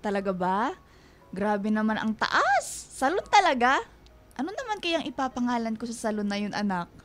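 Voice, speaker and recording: A woman playing a selfless, hard-up middle-aged mother in a Filipino radio drama, speaking gently and reassuringly. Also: a little high, sing-song, normal speed. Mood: proud, tender. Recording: music behind the voice.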